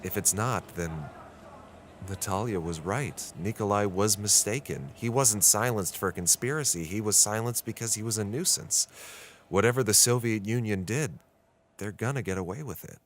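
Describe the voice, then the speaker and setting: A man's voice: on the low side, moderate pace, slight background noise, close to an everyday voice. A man with an American accent playing an earnest, frustrated 1960s reporter who narrates a brooding audio diary in a radio drama. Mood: brooding, discouraged. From United States.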